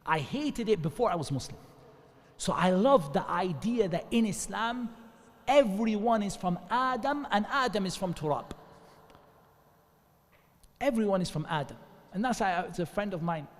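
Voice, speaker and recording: A man from England giving an earnest, animated religious talk to an audience, telling stories with quick asides. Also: sits high. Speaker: Islamic speaker. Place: Islamic centre hall. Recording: long hall reverb.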